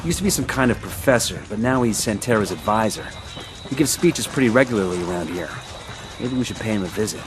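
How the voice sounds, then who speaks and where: Guy voicing calm soldier team lead in a game, lowish voice, dry and terse, music behind. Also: fast, lightly acted. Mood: calm, businesslike. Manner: terse, dry, matter-of-fact. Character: cool, dry male special forces squad leader. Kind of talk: video game military dialogue.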